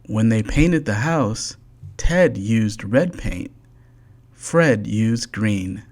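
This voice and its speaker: Storyteller for a children's bedtime book: unhurried, deliberate, animated intonation. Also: medium pitch. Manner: slow, deliberate, expressive read-aloud. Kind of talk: scripted children's storybook narration.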